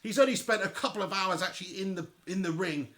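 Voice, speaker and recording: A man giving chatty, excitable live commentary on a boxing match and talking straight to his viewers. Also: high voice, quick pace. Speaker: livestream fight commentator.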